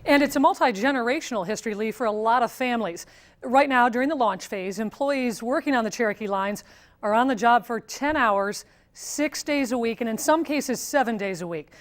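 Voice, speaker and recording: An American woman delivering scripted television news as a reporter. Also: fairly high pitch.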